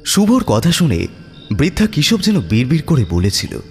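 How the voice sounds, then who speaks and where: A man's voice: mid-range voice, wildly swooping pitch, normal speed, a little mellow, soft background music. A man narrating a scripted horror story as a dramatic storyteller.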